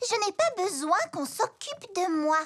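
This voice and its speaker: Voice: very animated, unhurried, a bit bright, heavily acted. A young performer voicing a proud, bossy little zebra girl who dances, self-important and girlish, in a French preschool cartoon. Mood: proud, insistent. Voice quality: high girlish voice.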